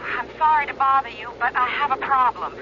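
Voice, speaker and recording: An actress plays a calm stewardess taking command in a radio drama emergency. Her voice is a little high and firm. The sound is muffled, with a clear cabin drone behind her. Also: fairly quick, close to an everyday voice. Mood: worried, controlled. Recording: dull, noisy old radio drama recording. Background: aircraft cabin drone.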